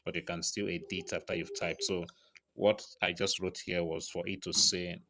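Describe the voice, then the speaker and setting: Male tutorial presenter, low register, informal step-by-step narration, frequent little confirmation tags. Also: little pitch movement, normal speed, bright, forceful tone, a little hiss or hum. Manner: frequent check-in tags like right and okay.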